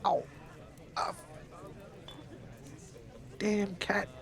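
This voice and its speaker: A man's voice: high voice, flat delivery, extremely slow, creaky, a bit bright, breathy edge, voice pushed up, full character voice. A man playing a courteous samurai-era investigator in a tabletop game, speaking formally and hesitantly.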